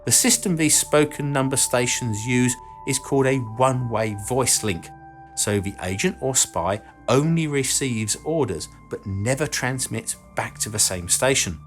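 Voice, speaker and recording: A man narrating a scripted explanatory documentary in a measured, informative manner. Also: medium pitch, expressive, faint music behind. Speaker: documentary video narrator and presenter.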